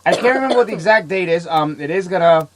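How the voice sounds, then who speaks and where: Sick, excitable male podcast host. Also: high voice, a crowd in the background. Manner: excitable, rambling, quick to laugh. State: sick, coughing. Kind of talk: casual chat.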